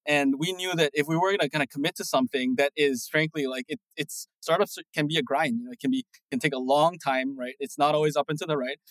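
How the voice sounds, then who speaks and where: Fast-talking man with tag questions. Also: on the high side, no echo, rough recording. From Singapore. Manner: quick, animated, many restarts and tag "right?". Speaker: guest, startup co-founder. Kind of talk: casual interview talk.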